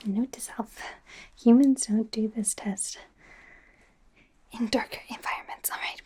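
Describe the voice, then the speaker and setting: Slow whispering woman as a flustered werewolf doctor. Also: medium pitch, natural voice. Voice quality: soft breathy whisper. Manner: hesitant, stammering, softly flustered. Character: bumbling female werewolf doctor in training, defensive, eager. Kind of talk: whispered ASMR medical exam roleplay. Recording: faint background noise, close-up whispering.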